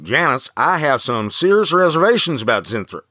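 Husky, fretful executive. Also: male voice, a little high, sing-song, moderate pace, sharp tone, dry recording, studio quality, heavily acted. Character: fretful, objecting male senior executive. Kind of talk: campy B-movie audio drama acting.

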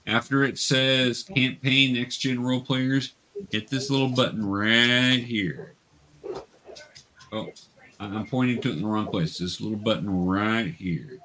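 A man's voice: medium pitch, steady tone, measured pace, sharp tone, a little hiss or hum, poor audio. A man guiding players through an online tabletop role-playing game, explaining patiently step by step with some hesitation. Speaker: dungeon master, teacher.

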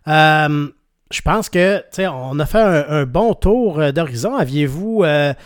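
A man's voice: a little high, expressive, a little slow, clear, resonant tone. A man with a Québécois accent interviewing musicians, asking long, hesitant, rambling questions. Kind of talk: casual interview.

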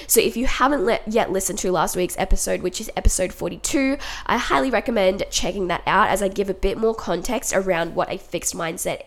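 A woman with a stuffy head cold records her podcast at home, her blocked nose and sore throat leaving her voice husky and low with a gravelly, fried edge. Her pitch sits in an ordinary middle range. She talks to her listeners in a chatty, upbeat way. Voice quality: deeper and huskier than usual from the cold. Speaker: podcast host and life coach. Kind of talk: solo podcast monologue. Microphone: home podcast mic.

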